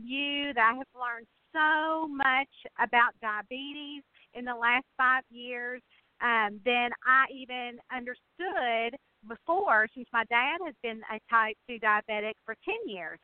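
A woman's voice: on the high side, dry recording. Effusive woman on a narrow phone line. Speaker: guest, mystery novelist. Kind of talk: casual interview chat.

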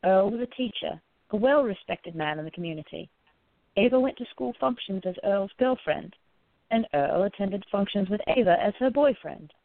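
A woman's voice: mid-range voice, animated, normal speed, creaky, no echo. A woman reading scripted story narration for an audio drama. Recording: narrow phone-like sound.

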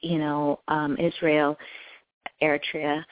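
A woman's voice: sits low, level tone, takes it slowly. A woman from Ethiopia, raised near Washington DC, chatting as a guest singer-songwriter on a radio interview, enthusiastic and full of fillers. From Ethiopia, raised in suburbs near Washington DC. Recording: dry, tinny phone-like line.

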